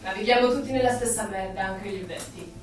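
A woman's voice: medium pitch, quick pace, far from the mic, natural voice. An actress playing a bold, cynical, teasing rebel woman in an Italian radio drama, blunt and scornful. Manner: blunt, scornful, coarse-mouthed. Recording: echoey, off-mic radio play over music.

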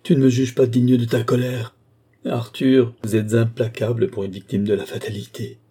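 A man's voice: mid-range voice, animated, normal speed, dark tone, husky, pitched above the performer's own voice. A man voicing a passionate, anguished adulterous wife in a storybook, pleading in a desperate, melodramatic way. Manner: melodramatic, imploring.

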